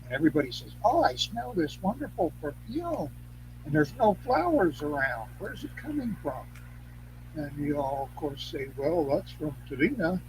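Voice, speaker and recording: An older man with a somewhat high voice talks in a rambling, anecdotal way. He is folksy and salty, halting and repeating himself as he explains. A noticeable hum and noise sit behind him. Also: sing-song. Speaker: guest, Craft elder and teacher. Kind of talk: rambling anecdotal interview talk. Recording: clearly noisy background hum.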